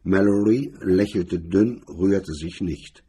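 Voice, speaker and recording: Husky, dark-voiced male narrator. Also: deep voice, slow. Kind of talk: scripted narration of a hard-boiled crime story.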